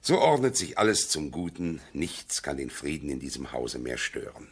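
Very deep, husky moralizing go-between. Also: male voice, sing-song, normal speed, full character voice. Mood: self-satisfied. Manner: sententious, preachy, emphatic phrasing. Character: fussy, moralizing male family go-between. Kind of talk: 1970s German radio play acting.

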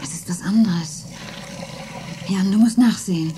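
A woman's voice: mid-range voice, measured pace, close to an everyday voice. An actress playing an anxious, plain-spoken woman living in a space dome, acting expressively in a radio play. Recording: noisy radio drama with water sounds.

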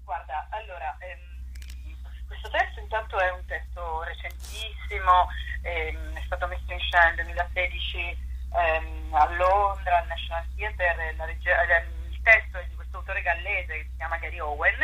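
Bright, husky woman on a phone call. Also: lowish voice, fairly even intonation, normal speed. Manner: hesitant, self-correcting explanations. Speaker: stage actress interviewed as guest. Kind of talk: conversational phone interview.

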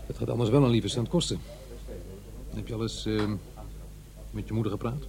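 A man's voice: lowish voice, steady tone, normal speed, creaky, a little mellow. An actor playing a practical, sceptical middle-aged divorced father in a Netherlands Dutch radio play, both talking and narrating. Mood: probing, defensive.

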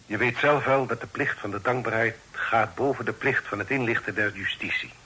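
An actor playing a grave middle-aged father and magistrate in a radio play, with a husky voice and solemn, measured, formal delivery. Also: medium pitch, pushed and bright, low-quality recording. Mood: grave, probing. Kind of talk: Dutch radio play acting.

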